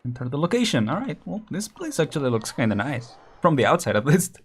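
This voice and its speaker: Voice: mid-range voice, big pitch swings, fast talker, slightly rough. A streamer giving casual let's-play commentary, chatty and laughing often while thinking aloud.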